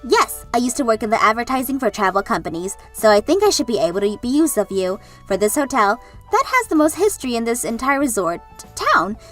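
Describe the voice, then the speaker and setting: Actress playing polite young working woman, manga dub: sincere, moderately high voice, lively pitch movement. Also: fairly quick, few pauses. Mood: eager. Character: earnest, polite young professional woman, devoted granddaughter. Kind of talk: anime-style manga dub acting. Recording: background music under the voice.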